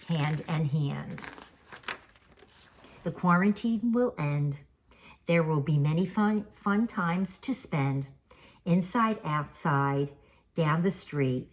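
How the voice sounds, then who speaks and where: An older woman reading a children's storybook aloud in a theatrical, sing-song way with emphatic questions and answers. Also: lowish voice. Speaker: author reading her picture book aloud.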